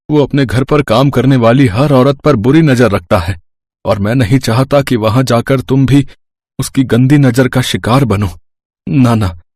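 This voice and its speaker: A man's voice: mid-range voice, darkish tone, no room sound, studio quality, voice pushed down, natural voice. A man voicing a poor but proud village farmer, a worried husband and father who speaks earnestly in a cartoon story. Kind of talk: animated moral story dialogue.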